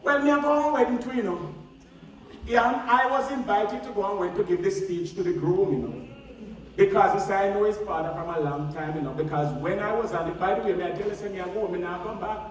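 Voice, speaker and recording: A man on stage playing a chatty, excitable Jamaican farm worker in a comic pantomime, exclamatory and folksy with a Jamaican accent. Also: high-pitched, fairly quick, muffled recording, lightly acted. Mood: aggrieved storytelling. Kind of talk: broad comic stage-play dialogue in patois. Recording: echoey theatre from far off, audience noise.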